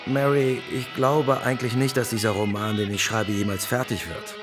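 A man's voice: mid-range voice, level tone, moderate pace, lightly acted. A man playing an uneasy, conciliatory war veteran writer in radio drama dialogue, hesitant and earnest. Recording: music behind the voice.

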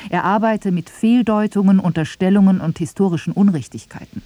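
A radio feature narrator reading a scripted debate, measured, questioning and objecting. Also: medium pitch, mellow, dry recording.

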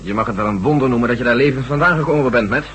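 A man acting a brisk, sceptical general in a radio play. He talks quickly and bluntly, in a familiar way. The old recording carries a faint hum. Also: medium pitch, fairly bright tone, natural voice. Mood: wry, familiar. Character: blunt, wary male American army general. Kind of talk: Dutch science-fiction radio drama acting.

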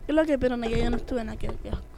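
A woman's voice: a little high, rapid-fire, gravelly. A woman playing brusque waitress and grandmother roles in improvised radio theatre, with a colloquial, complaining manner. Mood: matter-of-fact. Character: brusque waitress and scolding grandmother, quick to defend herself. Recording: noisy amateur recording with clicks.

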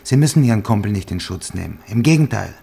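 An actor playing a hard-bargaining police investigator in a crime drama, probing and dismissive. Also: male voice, lowish voice, animated, fast, gravelly, mellow, slightly rough, exaggerated voice. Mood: pressing. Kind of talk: acted dialogue in a crime drama.

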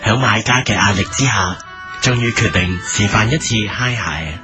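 A man's voice: fairly low pitch, normal speed, natural voice. A man playing an earnest narrator of a comic mock documentary, with a Hong Kong Cantonese accent. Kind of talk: scripted narration. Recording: music under the voice.

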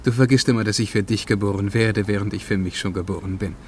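A man plays a solemn visitor from the far future in a radio drama, about forty. His voice is low, and he declaims with measured, ceremonious grandeur. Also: steady tone, darkish tone, slight background noise, close to an everyday voice. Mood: patient, stately. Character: forty-year-old male time traveller from the far future. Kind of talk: German radio play acting.

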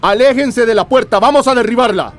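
Stern, high-pitched policeman. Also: brisk, over music. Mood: commanding. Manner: shouted formal commands. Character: stern, authoritative male police officer. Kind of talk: radio drama acting. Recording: loud scene noise with police sirens.

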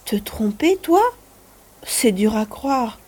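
A woman playing a curious, eager little grandchild with a husky voice and an inquisitive, expressive manner in an audio drama. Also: a little high, slow pace, slight background noise. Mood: doubtful. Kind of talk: French-language audio drama acting.

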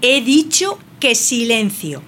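A woman playing a new schoolteacher with a quick temper in an audio drama. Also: a little high, sing-song, a bit bright, full character voice. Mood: furious. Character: new schoolteacher woman, quick to anger, fiery when irritated. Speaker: teacher.

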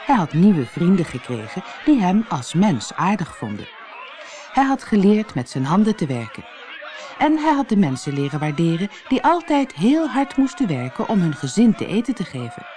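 A storyteller reading a scripted children's fairy tale in an expressive, dramatic way. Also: medium pitch, moderate pace, some chatter behind. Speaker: storyteller, audiobook narrator.

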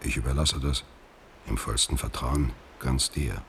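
Faded middle-aged actor, very low bass voice, measured, proud, radio drama, light background noise. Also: male voice. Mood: reflective, composed. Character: washed-up, proud middle-aged male actor turned letter writer. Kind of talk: German radio drama acting.

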